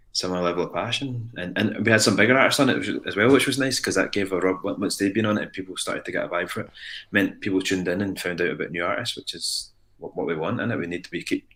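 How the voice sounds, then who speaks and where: Fast-talking deep-voiced Scottish man. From Scotland. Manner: animated, rambling with frequent restarts. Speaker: musician guest. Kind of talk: casual interview chat.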